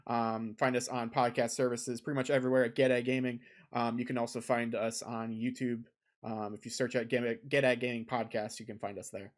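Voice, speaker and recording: A man co-hosts a laid-back gaming podcast in an ordinary mid-range voice. He rambles and fills his pauses with ums. He is recorded close on a desk condenser mic at a home setup. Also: medium pitch, little pitch movement. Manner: rambling, heavy on filler ums. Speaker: podcast co-host. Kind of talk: casual podcast chat.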